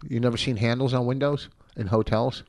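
A middle-aged man, a comedian hosting a podcast, chatting in an animated, jokey, rambling way. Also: mid-range voice, fairly quick, no echo. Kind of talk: casual chat.

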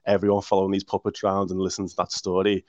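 A man's voice: low voice, monotone, very fast, a little mellow, dry recording. A man with a Liverpool accent, a council official answering interview questions with enthusiasm and frequent restarts. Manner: enthusiastic, restarts words often. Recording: muffled dry audio.

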